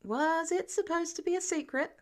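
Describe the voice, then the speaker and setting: A woman's voice: very high-pitched, normal speed, voice pushed up. A sarcastic and bookish teenage boy in a story reading, played by a woman. He is guarded, with a wry, casual way of talking.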